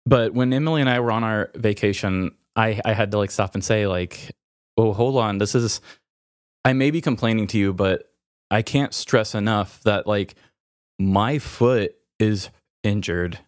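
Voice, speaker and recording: Male podcaster, lowish pitch, dry sound, hesitant and self-interrupting, personal and unscripted. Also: measured pace, no room sound. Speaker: podcast host. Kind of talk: candid personal podcast talk.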